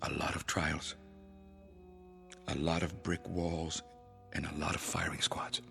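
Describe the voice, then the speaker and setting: An actor playing a vengeful, proud revolutionary leader in a radio drama, theatrical, deliberate and menacing. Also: male voice, extremely low pitch, flat delivery, creaky, a bit bright, heavily acted. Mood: cold resolve. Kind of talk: old-time radio drama acting. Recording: long hall reverb, music underneath. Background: dramatic music.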